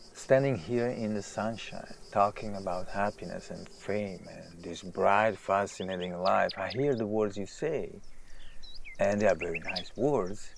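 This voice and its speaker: An actor playing a famous male writer, agitated, self-deprecating and obsessed with his work, halting and repeating himself in a naturalistic audio drama. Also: lowish voice, creaky, a little hiss or hum. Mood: apologetic, melancholy.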